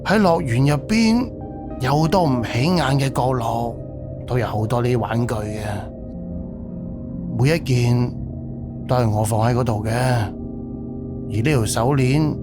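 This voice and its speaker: A man's voice: medium pitch, a little slow, pauses often, close to an everyday voice. Man playing a wistful middle-aged park director, Cantonese, over music. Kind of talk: audio drama acting. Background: background music.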